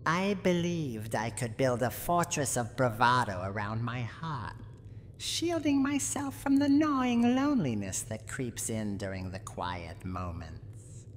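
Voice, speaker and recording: In a long, noisy hall reverb, a cranky old granny villain with a very low voice. She speaks haughtily and theatrically, as if from a horror game audio drama, with pitch that swings widely. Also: very animated, heavily acted. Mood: sorrowful, confiding. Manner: menacing, haughty, slow and theatrical. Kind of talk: dramatic scripted roleplay monologue, ASMR audio drama. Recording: long hall reverb, audible background noise.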